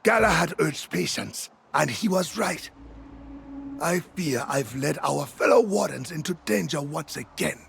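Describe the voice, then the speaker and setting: Weary fantasy game warden, heavy vocal fry, animated intonation, earnest, remorseful. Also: male voice, on the high side, measured pace, a little hiss or hum, exaggerated voice. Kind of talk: video game character dialogue.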